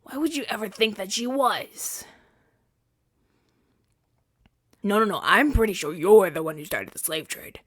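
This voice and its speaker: A man's voice: high-pitched, lively intonation, brisk, a bit bright, no room sound. An earnest rebel hero from a fantasy audio drama, acted by a man, argues his side in a natural, stumbling way. He sounds defensive and exasperated, speaking close to the mic in a very dry space. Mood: incredulous, arguing. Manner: conversational, stammering, exasperated arguing. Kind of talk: ASMR-style audio roleplay acting.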